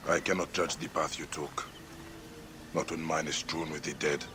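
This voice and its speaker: An actor playing a stern, grim male warrior who speaks in measured tones in a video game. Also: very deep voice, flat delivery, noisy background. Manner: stern and measured, grows urgent. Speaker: protagonist of a game. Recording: game audio under loud score.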